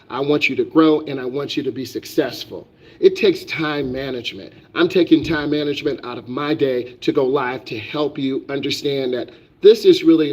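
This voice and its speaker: A man's voice: mid-range voice, a little mellow, breathy edge, lo-fi sound. A man who is a driving instructor, lecturing on a live stream with emphatic, repetitive, preachy delivery. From United States.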